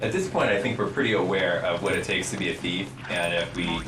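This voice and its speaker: A man's voice: low-pitched, brisk. Wry, dry-joking man, distant roomy mic. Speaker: game developer. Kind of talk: casual developer commentary over gameplay. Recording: roomy, distant mic, game music behind.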